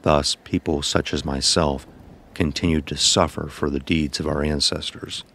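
Very deep, solemn storyteller. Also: male voice, steady tone, moderate pace, a bit bright, slight background noise. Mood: somber. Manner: solemn, literary. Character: blind young son narrating his dark ordeal, fearful and somber. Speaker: narrator. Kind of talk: scripted first-person horror narration. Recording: voice over background music.

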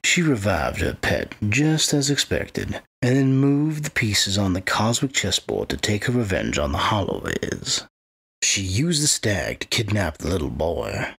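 A man playing a patient, sinister undead wight who gloats as he narrates a dark fantasy audio drama in a gravelly, husky voice. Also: on the low side, big pitch swings, a little slow, heavily acted. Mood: smug, gloating.

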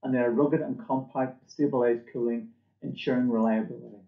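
A man delivers a scripted corporate presentation with a measured, formal delivery. His pitch sits in the middle range for a male voice. The sound is slightly dull and noticeably roomy, as if captured by a computer microphone in a small office. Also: fairly even intonation, vocal fry. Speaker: company presenter. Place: small indoor office or lab room.

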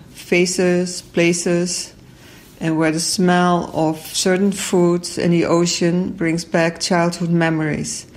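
A woman in her sixties from the Netherlands, calmly giving a prepared statement about her artwork. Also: on the low side. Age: 60s. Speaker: exhibiting artist.

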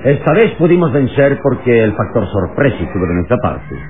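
Tinny noisy old radio serial, guy playing a commanding calm hero leader, big swings in pitch. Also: crowd noise, lightly acted. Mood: calm, resolute. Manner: firm, commanding, deliberate. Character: calm, authoritative heroic male leader of rebel slaves. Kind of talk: vintage radio drama acting.

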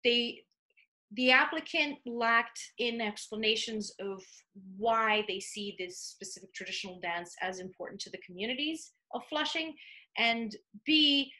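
A woman with a Serbian accent talks over a webcam from a small home office. Her voice is bright and a little high. She pauses often, with many fillers and restarts. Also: expressive, poor audio. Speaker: art commissioner. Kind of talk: video call interview. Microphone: video call webcam.

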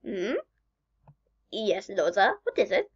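A woman voicing a gentle, naive male skeleton, eager and polite, in an amateur cartoon dub. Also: medium pitch, expressive, takes it slowly, husky, lo-fi sound, pitched below the performer's own voice.

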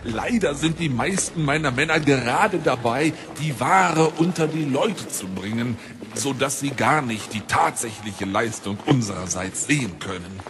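A man playing a smooth-talking, servile trader in a fantasy audio drama, with hesitant, ingratiating salesman patter. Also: mid-range voice, lively intonation, unhurried, music in the background. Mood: deferential.

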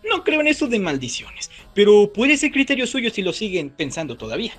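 A man's voice: sits high, very animated, a little fast, fairly bright tone, faint music behind. A man hosting a video with casual scripted commentary, chatting directly to his viewers. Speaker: YouTube host.

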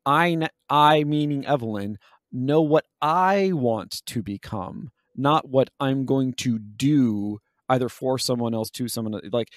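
A man's voice: mid-range voice, dry recording, clean studio sound. A man co-hosting a podcast, talking through ideas in a casual but academic, reflective way. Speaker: podcast host. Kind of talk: casual analytical discussion.

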